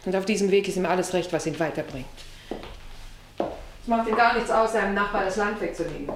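A woman acting a rancher's bitter, defiant daughter in a German radio drama, deliberate and accusing, in an echoing recording. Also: mid-range voice, close to an everyday voice. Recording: strongly echoing room, faint hiss.

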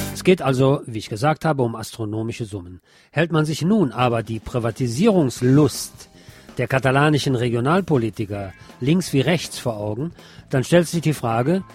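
A man's voice: mid-range voice, darkish tone. A man hosting a radio news programme, reading reports and adding his own commentary. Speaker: radio host.

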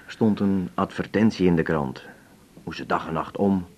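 A very low, husky voice of a weary travelling salesman in his fifties. He is gullible and plain-spoken, halting through short sentences in a naturalistic radio drama. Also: a little mellow, lo-fi sound. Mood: earnest, sheepish. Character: gullible, weary 56-year-old male travelling glue salesman.

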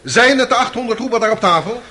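An actor playing a relentless, mocking courtroom prosecutor in a radio drama. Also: male voice, very high-pitched, fast talker, bright, forceful tone. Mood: insistent. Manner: insistent, mocking, theatrical.

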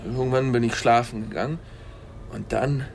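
An actor playing an ordinary angler giving a rambling, hesitant, colloquial witness account in a radio crime drama. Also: male voice, mid-range voice, fairly even intonation, moderate pace, a little mellow, natural voice. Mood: recollecting, uneasy. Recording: clearly noisy ambient background.

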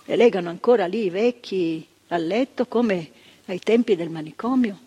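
An older actress, playing a retired psychiatric worker in a stage monologue, talking emphatically with repetitions and asides to the listener. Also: female voice, medium pitch, normal speed, mellow, slightly rough, lo-fi sound, close to an everyday voice. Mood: fervent. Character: retired female psychiatric worker, proud, impassioned, reflective. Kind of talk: theatre monologue. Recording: stage recording with long reverb.

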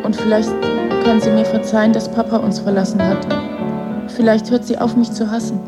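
A woman's voice: a little high, flat delivery, brisk, lightly acted. A woman playing a lonely, wounded schoolgirl in a radio drama, earnest and confiding. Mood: sad, resigned. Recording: music under the voice.

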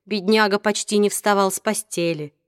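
A woman reading an audiobook, voicing a kind, sympathetic middle-aged mother who speaks warmly. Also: mid-range voice, steady tone, moderate pace, clean tone, dry recording, studio quality, close to an everyday voice. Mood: caring. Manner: warm, caring.

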